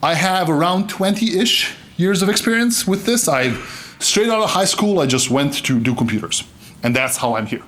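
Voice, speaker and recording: Man from Serbia, conference keynote, lively pitch, faint background noise, joking and animated. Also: moderate pace. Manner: animated, joking, gestures broadly. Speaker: keynote speaker. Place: conference stage, indoors.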